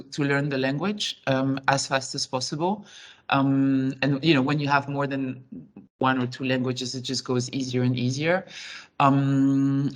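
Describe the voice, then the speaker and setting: A woman who grew up in Switzerland and Senegal, answering interview questions as a company chief executive in a frank, reflective, loosely phrased way. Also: extremely low pitch.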